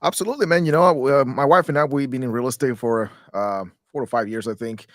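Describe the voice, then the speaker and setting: A man's voice: mid-range voice, quick pace, no echo, studio quality. A man in his thirties from Guatemala, a guest in a podcast interview, talking in a loose, rambling way with many restarts. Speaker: guest, real estate investor.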